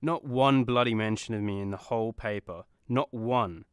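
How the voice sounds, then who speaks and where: Clear-voiced, petulant man playing a superhero. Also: medium pitch, normal speed, no room sound, studio quality, natural voice. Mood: indignant. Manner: indignant, sarcastic griping. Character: petulant, vain male superhero doctor, sulky grumbler. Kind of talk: comedy radio play acting.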